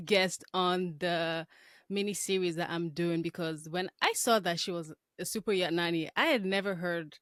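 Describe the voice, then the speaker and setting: A woman's voice: lowish voice, normal speed, fairly bright tone, no echo, clean studio sound. A woman in her twenties hosting a podcast in a casual, informal way. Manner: informal, frequent "like". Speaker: podcast host.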